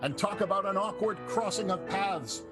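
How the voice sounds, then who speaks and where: A man's voice: high voice, moderate pace. A man narrating an improvised radio soap, setting scenes with dramatic, comedic flair over music. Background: prominent plucked guitar music.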